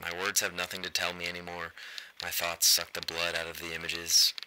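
A man acting a deep, sharp, disillusioned player. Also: completely flat delivery, fairly quick, slight background noise, low-quality recording. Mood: bleak, weary. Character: disillusioned actor renouncing Hamlet, grim and self-loathing. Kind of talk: radio play monologue.

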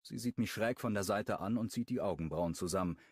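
A man narrating a first-person romance audiobook in a wry, expressive way, on a close studio microphone. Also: fairly low pitch, brisk, breathy edge, no echo.

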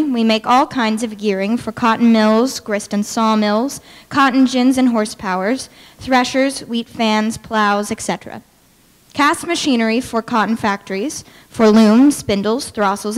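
A young adult woman giving a formal, deliberate reading of historical documents. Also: on the high side. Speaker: dramatic reader. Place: large brick-walled hall with stage, indoors. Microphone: podium microphone. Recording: reverberant large hall.

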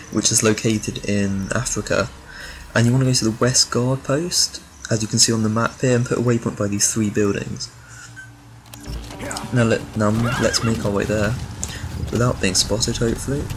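A man's voice: on the low side, steady tone, moderate pace, low-quality recording. A man giving a casual walkthrough commentary over video game footage. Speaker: video game guide maker. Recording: clear background noise, game ambience.

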